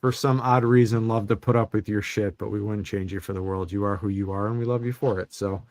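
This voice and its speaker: A man co-hosting a casual podcast, talking through toy news in a relaxed, rambling, teasing way. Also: on the low side, fairly quick. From Chicago suburbs. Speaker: podcast co-host.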